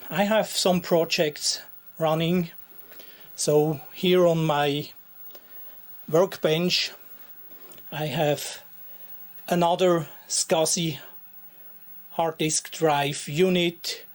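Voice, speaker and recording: A Swiss man with a Swiss German accent, a native Swiss German speaker, showing his retro computer collection in a slow, deliberate, pausing way. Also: a little high. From Switzerland. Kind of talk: show-and-tell narration.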